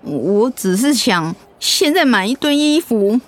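An actress playing a gentle, hesitant middle-aged mother in a radio drama. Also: on the high side, very animated, measured pace. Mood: hesitant, worried. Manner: gentle, hesitant, conversational with soft fillers.

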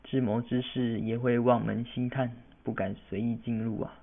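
A man's voice: medium pitch, little pitch movement, moderate pace, creaky, phone-quality sound, natural voice. A man acts out a learned young scholar who is really a fox spirit. He lectures with wounded pride and boasts defiantly. It is a radio drama with thin, band-limited sound. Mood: indignant, reproachful. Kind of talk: amateur radio drama acting.

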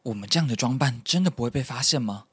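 A man's voice: medium pitch, lively intonation, quick pace, husky, dry recording. A man acting as an inquisitive, slightly hesitant young traveller in an audio drama, speaking mildly. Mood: unsure, curious. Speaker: voice actor.

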